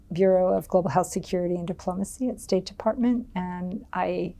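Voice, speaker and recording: A woman's voice: fairly low pitch, warm tone. A middle-aged American woman giving an oral history interview, speaking thoughtfully with many ums and restarts. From United States.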